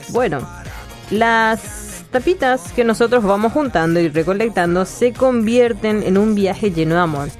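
A woman's voice: mid-range voice, a little mellow. Paraguayan lady on the radio, chatty and playful, laughs a lot, faint music behind. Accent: Paraguayan Spanish. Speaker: radio host. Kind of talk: casual radio chat reading listener messages.

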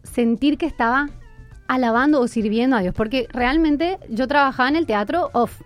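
A woman's voice: high voice, normal speed, faint music behind. A young woman with an Argentine accent in her twenties, telling her story earnestly as a guest in a radio interview. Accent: Argentine Spanish. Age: 20s. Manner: earnest, personal storytelling with fillers. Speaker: guest musician. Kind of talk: casual interview.

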